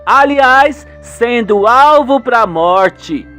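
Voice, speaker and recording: A man doing a high-pitched, pitying gossipy woman. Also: expressive, drawn-out words, sharp tone, soft background music, full character voice.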